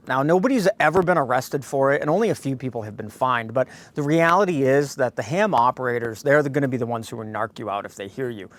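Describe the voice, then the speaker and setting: A man presenting an informal product review, addressing viewers directly in a folksy way as a host. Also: medium pitch. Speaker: host of a radio gear review channel.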